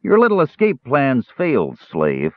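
A man voicing a shrill, scheming male dwarf slave-owner who snarls, sneers and cackles. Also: wildly swooping pitch, measured pace, no echo, heavily acted. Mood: snarling. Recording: dry, phone-like narrow band.

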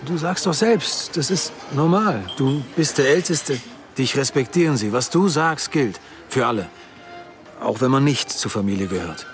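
A man's voice: mid-range voice, expressive, normal speed, soft background music, close to an everyday voice. An actor playing an evasive, defensive male police detective in a radio drama, speaking colloquially. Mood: placating, uneasy.

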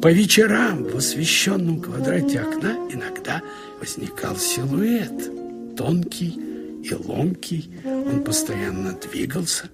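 A man narrating a short story in a conversational, wry way, with expressive asides, like a radio audiobook reader. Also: high voice, slow pace, loud music behind the voice. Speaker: narrator reading literary prose.

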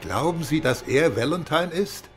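A man acting a brisk, suspicious senior police chief in a German radio crime drama, with clipped, authoritative questioning. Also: fairly high pitch, expressive, soft background music. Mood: pondering.

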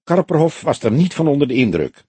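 Man playing an earnest young detective, lively intonation. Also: a little high, brisk, slightly rough, no echo, voice pushed up, heavily acted. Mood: wry. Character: young male detective, earnest deferential junior partner.

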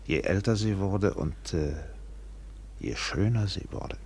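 Deep, gravelly sea captain. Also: male voice, full character voice. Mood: resigned. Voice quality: gravelly, husky. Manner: expressive, measured literary storytelling with acted dialogue. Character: weathered steamship captain recounting a colonial tale. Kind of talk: German radio play narration and dialogue.

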